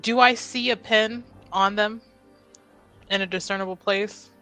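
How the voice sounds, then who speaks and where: A woman's voice: medium pitch, steady tone, a little slow, a bit bright, soft background music. A woman chatting casually and playfully as a player in a tabletop roleplaying game.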